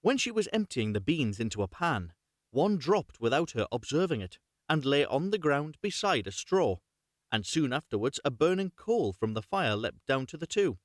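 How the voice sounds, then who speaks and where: Man with lively, swooping pitch, rambling casually. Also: normal speed, dry recording, clean studio sound. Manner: rambling, self-correcting, apologetic about slips. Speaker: host of a live story reading. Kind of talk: casual live chat and unrehearsed storybook reading.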